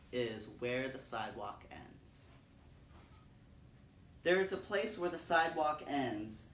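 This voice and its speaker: Man hosting storytime in an echoey room. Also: mid-range voice. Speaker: storytime host. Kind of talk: poetry reading and talk for children. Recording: roomy, distant mic, faint noise.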